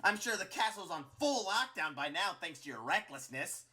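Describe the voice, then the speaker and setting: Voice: mid-range voice, big pitch swings, slow pace, sharp tone, voice pushed up, full character voice. A narrator voicing a scheming, bossy and angry male flower creature in a dramatic story, spitting out the words. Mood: furious. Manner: roaring, spitting, disingenuous.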